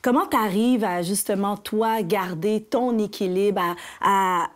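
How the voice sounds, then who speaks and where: A woman's voice: mid-range voice, slow pace. Québécois lady hosting an interview, chatty and admiring, lapel mic, little lounge room. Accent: Québécois French. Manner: admiring, conversational questioning. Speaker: interview host. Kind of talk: interview questions. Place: small decorated lounge studio, indoors.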